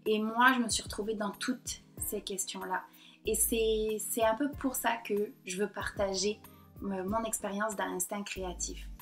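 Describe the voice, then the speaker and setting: A woman's voice: mid-range voice, steady tone, faint music behind. Woman with a mixed southern French and Québécois accent. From south of France. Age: 30s. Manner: hesitant, many pauses and fillers. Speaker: painter and blogger. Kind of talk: personal confiding vlog to camera. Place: at home in front of her computer. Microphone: computer or laptop mic.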